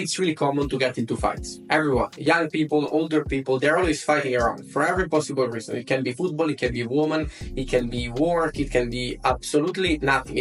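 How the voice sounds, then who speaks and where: A young man from Italy with an Italian accent, speaking English as a second language in an earnest self-help vlog, repeating words for emphasis. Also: on the high side. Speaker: self-development vlogger. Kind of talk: advice monologue to camera.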